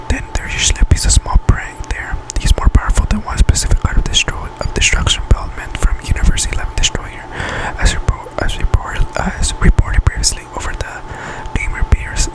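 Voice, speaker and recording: A man reading an article aloud in an ASMR whisper, halting and often stumbling over words and correcting himself. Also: rough recording. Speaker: ASMR channel host.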